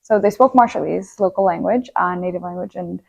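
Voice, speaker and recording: A woman's voice: fairly low pitch, brisk, creaky, a little mellow, muffled recording. Chatty young woman student, filler-heavy. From Nepal. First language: Armenian. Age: young adult. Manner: chatty, many "like" fillers, acts out her own past thoughts. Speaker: guest, university student. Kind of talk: casual interview chat.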